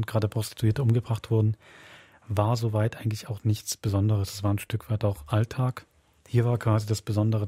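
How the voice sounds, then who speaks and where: A man's voice: lowish voice, dark tone, husky, no room sound. A man hosting a podcast, explaining things in a casual, conversational way. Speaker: podcast host. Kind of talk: casual explanatory chat about a radio play.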